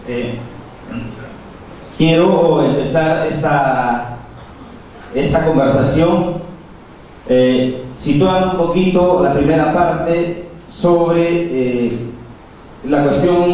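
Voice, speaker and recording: A man's voice: fairly high pitch, mellow. Man speaking in an echoey hall. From Peru. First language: Quechua. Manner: measured, many pauses and fillers. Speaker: conference speaker. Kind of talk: formal conference talk. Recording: echoey hall, far from mic.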